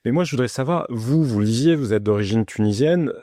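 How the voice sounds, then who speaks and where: A man's voice: medium pitch, expressive, a little fast, warm tone, dry recording, studio quality. A man hosting a podcast, putting questions in a conversational interviewing style.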